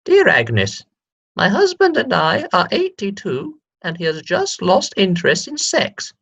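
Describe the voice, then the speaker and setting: An actor playing earnest letter writers to a radio agony aunt, reading with deliberate comic timing. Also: mid-range voice, wildly swooping pitch, gravelly, fairly bright tone, husky, full character voice. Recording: dull, muffled recording.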